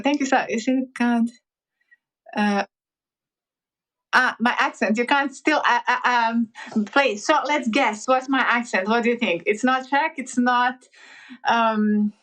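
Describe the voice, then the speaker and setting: A woman from Montenegro, chatting haltingly with viewers on a piano livestream, full of fillers and restarts. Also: a little high, fairly bright tone. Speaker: livestreaming pianist. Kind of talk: casual livestream chat reading comments.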